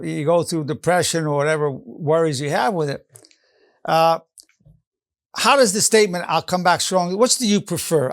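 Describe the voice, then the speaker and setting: A man's voice: a little high, creaky, a bit bright. An older man in his late seventies, a psychologist giving an earnest motivational lesson and repeating his key phrases. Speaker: psychologist teaching an online lesson. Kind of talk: motivational lecture.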